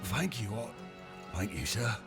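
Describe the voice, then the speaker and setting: A man's voice: lowish voice, animated, takes it slowly. A man voicing a downtrodden, flustered servant in a game cinematic, stammering and deferential. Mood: flustered, servile. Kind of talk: animated game cinematic acting. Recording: voice over loud cinematic music.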